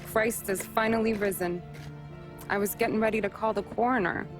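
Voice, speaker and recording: A woman's voice: medium pitch, steady tone, moderate pace, music in the background, close to an everyday voice. An actress playing a wry, teasing working-class wife who ribs her husband in a game cutscene. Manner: sarcastic, teasing.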